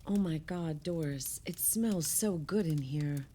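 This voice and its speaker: An actress playing an effusive, sentimental party guest in a naturalistic audio play, speaking haltingly. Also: female voice, low voice, mellow, slight background noise. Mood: admiring. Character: gushing, sentimental woman guest at a holiday party.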